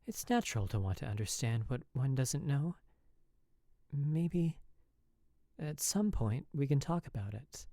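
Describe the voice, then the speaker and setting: A man voicing a sad, gentle young married woman who speaks softly and hesitantly. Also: medium pitch, lively intonation, unhurried, long pauses, no echo, studio quality, pitched above the performer's own voice. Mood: wistful. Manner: soft and hesitant.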